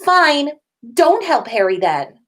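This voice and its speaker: A woman puts on an earnest, bossy teenage witch girl for a story read-aloud. The voice is lifted high and moves around a lot, full of indignant concern. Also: sing-song, slow pace, a bit bright, exaggerated voice.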